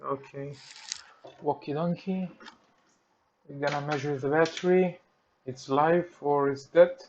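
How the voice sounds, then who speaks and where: A man with a Greek accent, speaking English as a second language, walks through a repair and thinks aloud in halting, simple sentences. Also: on the high side, fairly even intonation, a little mellow, muffled recording, a little hiss or hum. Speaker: repair technician. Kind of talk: hands-on repair tutorial. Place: workbench.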